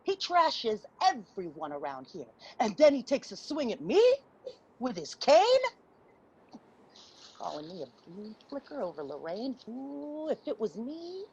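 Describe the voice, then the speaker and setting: An actress playing a tough, defensive homeless friend with an American accent, husky and blunt, in a stage play reading with slightly muffled sound. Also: female voice, a little high, big pitch swings, unhurried, darkish tone, heavily acted. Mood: aggrieved. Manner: blunt, punchy street talk.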